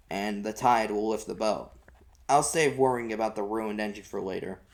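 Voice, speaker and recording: A man's voice: medium pitch, gravelly, darkish tone. A man reading a first-person novel aloud as an amateur audiobook narrator, in folksy storytelling style.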